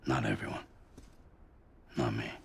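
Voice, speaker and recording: A man playing a weary, withdrawn courier in a video game, speaking tersely in a gravelly voice. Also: fairly even intonation, unhurried, a bit bright, a little hiss or hum, heavily acted. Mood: bleak, resigned. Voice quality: gravelly, very low. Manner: terse, subdued delivery. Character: weary, withdrawn male courier, lonely and fatalistic. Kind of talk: video game cinematic acting.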